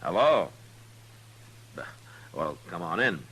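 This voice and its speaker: An actor playing a steady, gruff American frontier marshal, laconic and dry-witted, in an old-time radio western. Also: male voice, deep voice, takes it slowly, heavily acted. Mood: dry, preoccupied. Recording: noisy, humming vintage radio recording.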